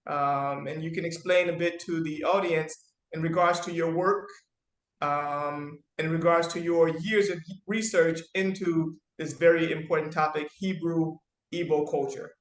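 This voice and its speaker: A man hosts an interview in a halting, formal way, pausing often between phrases. His voice sits a little higher than most men's. The room around him rings with a noticeable echo. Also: steady tone. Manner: halting, with frequent pauses. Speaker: interview host.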